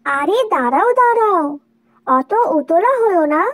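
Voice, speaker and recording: Woman as a slow-talking little magic bird. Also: very high voice, lively intonation. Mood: reassuring, cautioning. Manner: unhurried, instructive. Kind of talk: dramatized doll-story dialogue.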